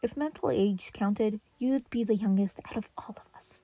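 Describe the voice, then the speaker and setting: A woman voicing a smug, clever teenage boy turtle who speaks in a deadpan way. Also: mid-range voice, normal speed, dry recording, natural voice.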